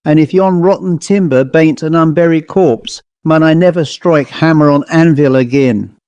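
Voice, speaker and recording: A man voicing a stalwart, blunt village blacksmith who speaks in rough rustic dialect to his squire. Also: fairly high pitch, normal speed, darkish tone, no room sound, pitched above the performer's own voice, lightly acted. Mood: grimly insistent. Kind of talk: audiobook character reading.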